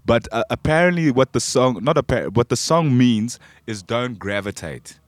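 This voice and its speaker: A man from Zambia hosting a casual radio chat, halting and often repeating words. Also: mid-range voice, animated, dry recording. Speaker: radio show host. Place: radio studio.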